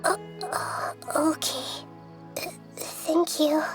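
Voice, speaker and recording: A woman voicing a gentle, childlike goddess in a video game, speaking in a soft girlish voice with measured, thoughtful phrasing. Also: high voice, fairly even intonation, faint music behind. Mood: anxious. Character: gentle childlike female deity, wise, thoughtful, hesitant. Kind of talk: English video game dubbing.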